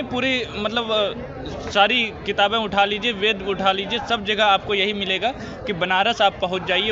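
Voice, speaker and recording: A young man from Jharkhand, a graduate, speaking earnestly outdoors. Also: high-pitched. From Dumka, Jharkhand. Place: outdoors at a university convocation. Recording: muffled outdoor recording with crowd noise.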